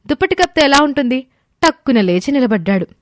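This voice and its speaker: Higher-pitched woman narrating a story. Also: big pitch swings, quick pace, no echo, clean studio sound. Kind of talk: scripted moral story narration.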